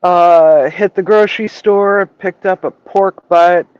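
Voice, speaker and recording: A woman with a low voice, chatting frankly and casually as a regular on a livestream. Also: steady tone, takes it slowly, clean tone, no echo, muffled recording. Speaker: chef and regular co-host.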